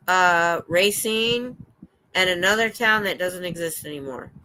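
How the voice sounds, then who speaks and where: An older American woman hosting a livestream, chatting in a rambling, excitable way. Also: fairly low pitch, poor audio. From United States. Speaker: livestream host. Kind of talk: casual chat. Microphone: streaming setup.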